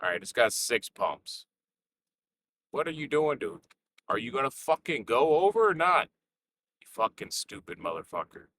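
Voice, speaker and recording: Congested trucker man, bright voice. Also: mid-range voice, sing-song, moderate pace, pauses often, dry recording. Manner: cursing at other drivers. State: congested with a sore throat. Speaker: trucker vlog host. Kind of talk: casual chat while driving. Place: inside a semi truck cab on the road.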